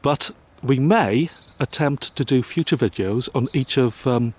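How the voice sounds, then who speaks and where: A man's voice: mid-range voice, unhurried, a little mellow, breathy edge. A man hosting an interview and asking questions politely, with many "um" and "uh" fillers.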